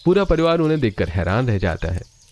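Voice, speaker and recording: एक आदमी जो एक कहानी को स्थिर, सधी हुई आवाज़ में सुनाता है।. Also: male voice, medium pitch, very animated, fairly quick, a little mellow. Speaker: narrator. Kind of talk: scripted story narration.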